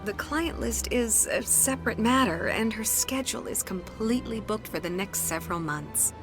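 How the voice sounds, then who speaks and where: An actress playing a courteous, poised but firm alien secretary in a video game, speaking politely and formally. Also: female voice, medium pitch, lively intonation, normal speed, close to an everyday voice. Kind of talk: video game character dialogue. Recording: music playing behind the voice.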